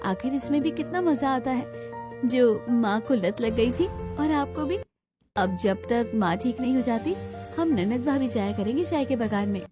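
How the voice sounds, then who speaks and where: Woman voicing an eager high-pitched young sister-in-law. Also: lively intonation, moderate pace, over music, lightly acted. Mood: earnest, eager. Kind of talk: Hindi cartoon story dialogue.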